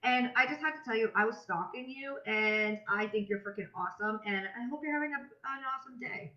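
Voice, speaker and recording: A woman's voice: on the high side, a little fast, bright, forceful tone. A woman talking casually and emphatically to followers on a live stream, with informal slang, as a coach for a health and fitness business. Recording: dull, roomy live-stream audio.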